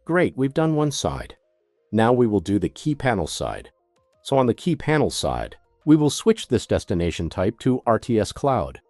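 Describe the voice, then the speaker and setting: Male tutorial narrator, methodical product demonstrator with animated intonation, recorded close and dry. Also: fairly low pitch, normal speed, no echo, soft background music. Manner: methodical, step-by-step instruction.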